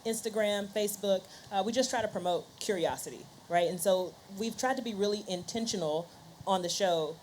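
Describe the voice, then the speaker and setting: A woman's voice: mid-range voice, fairly quick, a little mellow, a little hiss or hum. A woman podcast host speaking conversationally on a panel, voicing little quotes as she explains.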